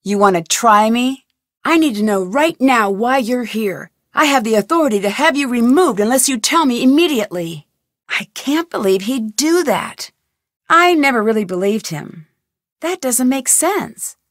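An actress playing an inquisitive, persistent young woman detective in an adventure game, with clear, earnest, questioning delivery. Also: a little high, expressive, moderate pace, no room sound, studio quality, lightly acted. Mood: firm, frustrated. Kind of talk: scripted adventure game voice lines.

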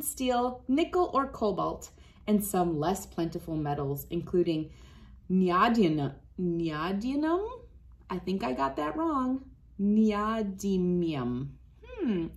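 Animated woman reading aloud to children. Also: mid-range voice, creaky. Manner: encouraging, addressing young viewers directly. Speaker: host reading a children's science book aloud. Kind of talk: read-aloud and hands-on demonstration for children. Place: small wood-panelled room indoors, by a window. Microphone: device camera at about arm's length.

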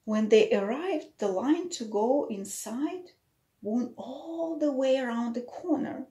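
A woman's voice: high-pitched, lively intonation, slow, mellow. A middle-aged woman reading a picture book aloud to young children, explaining it simply and putting questions to the listeners. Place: small plain room indoors.

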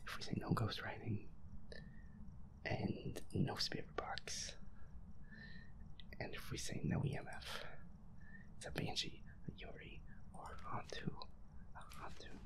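A gamer with a breathy, whispery voice, nervously muttering to themself while playing through a horror game. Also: a little slow, pauses often, rough recording. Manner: nervous, muttering to self, reluctant. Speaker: solo gamer. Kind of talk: hushed let's-play commentary of a horror game.

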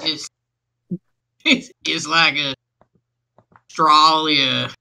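A woman's voice: low voice, steady tone, extremely slow, vocal fry, over music. A woman playing a tabletop game, playful and laughing in casual banter.

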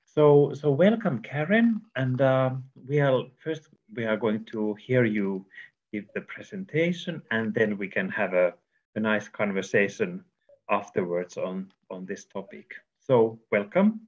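A man with a fairly low voice moderates an online forum. He introduces the guest in hesitant, halting phrases, and the video call sound is slightly dull and lo-fi. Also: lively intonation.

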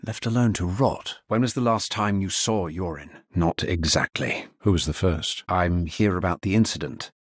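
Fantasy game necromancer hero: grave and understated, husky tone, very dry close sound. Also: male voice, low voice, moderate pace, hardly any pauses, no echo. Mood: solemn. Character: grave, world-weary male necromancer hero. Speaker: video game voice actor. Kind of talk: dramatic fantasy game dialogue lines.

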